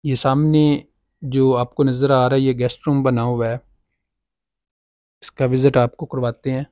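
A man's voice: medium pitch, level tone, unhurried, dark tone, dry recording, dull audio. A man with a Pakistani Urdu accent gives a casual walking tour of a farmhouse as a property agent, pointing things out as he goes with many fillers. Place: outdoors on a farm property, walking.

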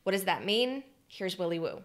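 A woman's voice: on the low side, expressive, normal speed. A young woman hosting a podcast interview, upbeat and enthusiastic, recorded at home over a video call. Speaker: podcast host. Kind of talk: interview host. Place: home room, indoors.

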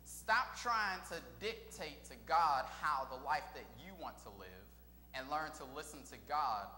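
A man's voice: fairly high pitch, lively intonation, a little slow, bright, forceful tone, a little hiss or hum. A middle-aged male minister preaching call-and-response style, chanting phrases and quizzing the Scripture reader, recorded on a pulpit mic in a small church. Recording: echoey church room, long reverb, faint organ.

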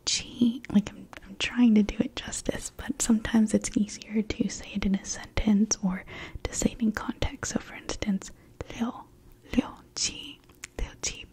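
A woman whispering a gentle, patient language lesson in ASMR style, her first languages Mandarin and Cantonese. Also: mid-range voice, steady tone, moderate pace, slightly rough. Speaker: language teacher.

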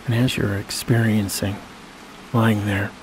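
Low, even-toned man guiding sleep hypnosis. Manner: slow, soothing, long pauses between phrases. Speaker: hypnosis guide. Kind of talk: guided sleep meditation. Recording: steady rain-like noise behind voice.

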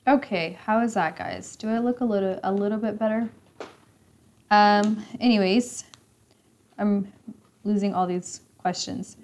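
Mid-twenties woman, kinda raspy today, chatty live stream host teasing her chat. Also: mid-range voice, a little slow, long pauses, a little mellow. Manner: chatty, teasing, laughs often. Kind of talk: casual live stream chat reading comments. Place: indoor room with leather couch.

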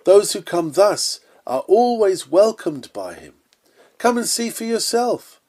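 A man's voice: high voice, big pitch swings, slow, a little mellow. Earnest man reading an old sermon. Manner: earnest, emphatic, solemn. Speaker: sermon reader. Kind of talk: read-aloud Victorian sermon, earnest preaching delivery.

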